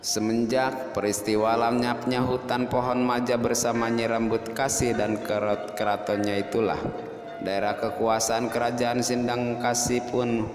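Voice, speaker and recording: A man narrating a scripted folk tale as a storyteller, in a measured way with dramatic pauses and drawn-out key words. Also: medium pitch, little pitch movement. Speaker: storyteller and host. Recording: echoey long reverb, music behind. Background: music.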